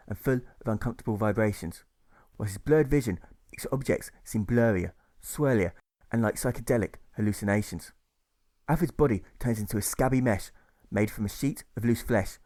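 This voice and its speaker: A man's voice: mid-range voice, a little mellow. A man reading a rhyming audiobook story in a rhythmic, emphatic storytelling manner. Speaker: author reading own audiobook. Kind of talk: scripted rhyming narration.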